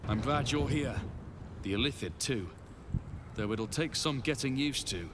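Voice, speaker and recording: A man's voice: medium pitch, a little slow, noisy background, close to an everyday voice. A man voicing a courteous, steadfast comrade in a fantasy video game. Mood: friendly. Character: courteous, steadfast male ally in a fantasy war. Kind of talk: video game character acting.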